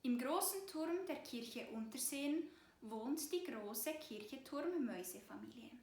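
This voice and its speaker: A woman's voice: high voice, expressive, a little slow, a little mellow. A woman narrating a storybook tale for children as a storyteller. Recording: roomy, echoing voice recording.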